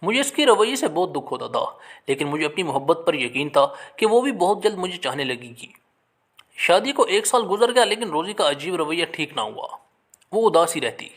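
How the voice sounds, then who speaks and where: Animated man telling a dramatic story. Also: a little high, normal speed. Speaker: story narrator. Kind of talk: dramatic scripted first-person storytelling.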